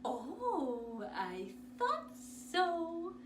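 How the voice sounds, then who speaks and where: A woman voicing a sweet, kindly old woman in a storybook, with a gentle, unhurried, folksy manner. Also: very high-pitched, huge pitch swings, pitched above the performer's own voice, heavily acted. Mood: knowing. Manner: gentle, unhurried, fond muttering. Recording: echoey room, distant mic, noisy.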